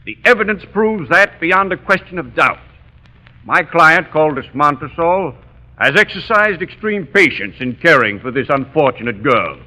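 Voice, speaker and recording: A pompous courtroom lawyer. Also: male voice, fairly high pitch, sing-song, moderate pace, full character voice, rough recording. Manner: pompous, forceful. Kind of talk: 1930s radio drama acting.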